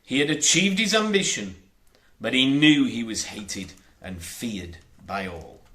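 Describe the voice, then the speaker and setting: A man narrates a children's audiobook with theatrical expression. His voice is bright and forceful, with a lively, swooping pitch and an unhurried, measured pace. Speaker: audiobook reader. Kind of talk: dramatic children's storybook narration.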